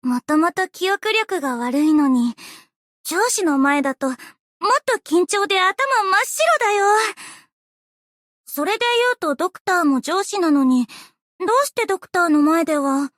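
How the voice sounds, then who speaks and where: A woman playing an earnest, bubbly, easily flustered young female idol in an anime game, with a cute, expressive, girlish delivery. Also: sits high, normal speed, dry recording, studio quality. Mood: nervous, flustered. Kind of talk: anime game character voice lines.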